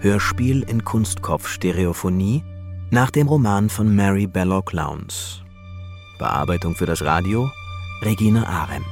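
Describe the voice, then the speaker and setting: An actor as the narrator of a radio drama, reading a measured scripted narration with music under him. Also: male voice, deep voice. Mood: formal, announcing.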